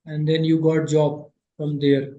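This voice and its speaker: A man teaching Sanskrit grammar in an online class, patient and repeating phrases slowly, his English not his first language. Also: on the high side, flat delivery, mellow, echoey room, muffled recording.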